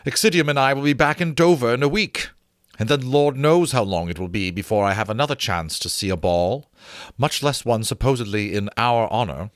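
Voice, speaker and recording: A man's voice: medium pitch, a little fast, no room sound, clean studio sound, natural voice. A man voicing a blunt, hearty, teasing woman captain in a storybook reading. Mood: brash, teasing.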